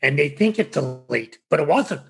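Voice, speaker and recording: A man answering interview questions in a halting, conversational way with a gravelly, husky voice. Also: on the high side, animated, fairly bright tone. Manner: halting, restarts with fillers. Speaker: interview guest.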